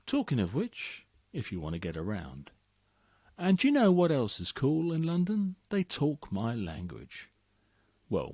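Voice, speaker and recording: Man, poem recital, playful rhythmic delivery, very lively pitch, unhurried, dry close sound. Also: no room sound. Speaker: reader of a competition entry. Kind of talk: poetry recital.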